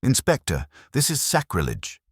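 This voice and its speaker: A man's voice: medium pitch, huge pitch swings, normal speed, slightly rough, no room sound, clean studio sound, voice pushed up, heavily acted. A man voicing an indignant, righteous vicar in a formal, pompous, exclamatory manner for a radio-style mystery. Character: indignant, righteous male vicar, fussy and fervent.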